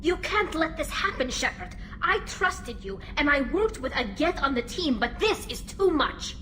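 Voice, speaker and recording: A woman playing an earnest, anxious young alien engineer in a video game, expressive and nervous in delivery. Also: sits high, moderate pace, slight background noise, full character voice. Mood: indignant, pleading.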